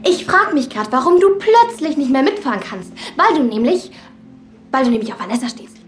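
A woman's voice: high-pitched, very animated, brisk, soft background music. A young actress playing a jealous, hot-tempered teenage girl in a radio play, who snaps and stammers when upset. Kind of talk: film-based radio play acting.

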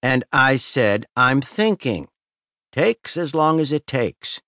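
A man's voice: mid-range voice, very animated, slow pace, pushed and bright, dry recording, studio quality, pitched above the performer's own voice, exaggerated voice. A man voicing a crude, cocky older male convict who drawls and teases in an audiobook. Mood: sly. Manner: drawling, teasing and sly. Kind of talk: audiobook character voice.

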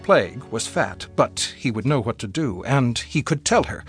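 A man's voice: mid-range voice, expressive, normal speed, faint music behind. A man reading scripted narration as a radio host.

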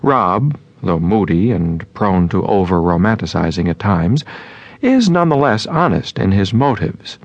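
An actor playing a moody, romantic man voicing his letters in an audio drama, measured and earnest, with a gravelly, husky voice. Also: sits low, animated, no room sound, lo-fi sound, full character voice. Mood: formal, composed.

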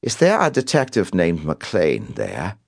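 A man voicing a sinister European criminal caller with an accent, speaking in a slow, flat, playfully menacing way. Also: low voice, gravelly, no echo, studio quality, exaggerated voice. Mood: taunting. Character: sinister European male bomber taunting police by phone.

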